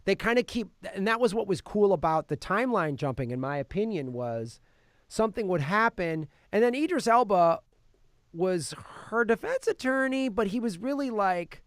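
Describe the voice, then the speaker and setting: Higher-voiced man chatting about films. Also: big pitch swings, moderate pace, no echo, studio quality. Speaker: podcast host reviewing films. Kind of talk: casual film review chat. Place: podcast studio.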